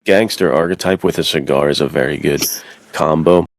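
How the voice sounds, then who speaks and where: A man's voice: extremely low pitch, moderate pace, no room sound. A man co-hosting a podcast, chatting casually with informal, conversational phrasing. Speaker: podcast co-host.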